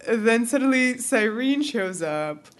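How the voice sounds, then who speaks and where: A woman's voice: fairly high pitch, lively intonation, slow. A woman co-hosting a podcast, retelling a story casually in slangy words and quoting the characters. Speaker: podcast co-host. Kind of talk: casual recap and chat.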